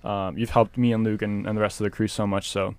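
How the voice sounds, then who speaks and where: A young man co-hosting a college sports radio show in a campus studio, relaxed and chatty. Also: lowish voice, fast, clean tone, no echo. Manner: relaxed and chatty, laughs easily. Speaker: co-host. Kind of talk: casual sports talk.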